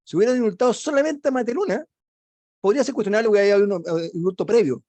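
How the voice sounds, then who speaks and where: A man's voice: high-pitched, fast talker, dark tone, no room sound. A Chilean man on a radio panel, emphatic and colloquial, throwing in warning asides.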